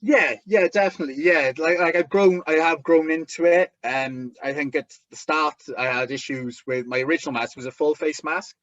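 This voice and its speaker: A man with a thick Welsh accent, a wrestler chatting as an interview guest, talking haltingly with lots of restarts and fillers. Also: a little high, steady tone, fairly bright tone, no room sound, muffled recording. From Wales. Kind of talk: casual interview chat.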